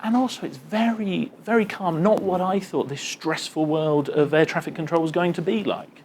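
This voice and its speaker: A man's voice: a little high, moderate pace, darkish tone. A man in his thirties interviews casually and informally, thinking aloud as he goes. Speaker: interviewer, radio presenter. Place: large airport control tower room, indoors.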